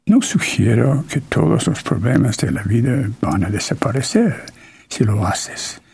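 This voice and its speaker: A man closing a church conference sermon with a solemn testimony, speaking slowly and solemnly. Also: medium pitch, big pitch swings, vocal fry, a little mellow, husky.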